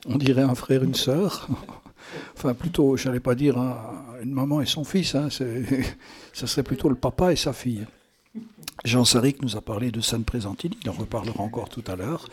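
Male radio host, mid-pitched, chatty and improvised, teasing and flowery, with a rambling theatrical streak. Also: moderate pace. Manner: playful, teasing, flowery compliments.

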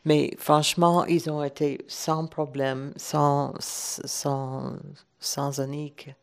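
A woman's voice: deep voice, little pitch movement, takes it slowly, vocal fry. A woman from Ireland, speaking French as an as a radio guest, hesitant and searching for words. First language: English. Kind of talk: relaxed radio chat.

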